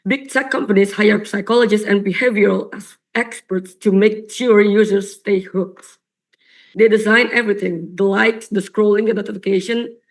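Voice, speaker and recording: Raspy young woman giving a debate speech. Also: mid-range voice, poor audio. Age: young adult. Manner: earnest, emphatic with repetitions. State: raspy from coughing. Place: online or stage debate venue.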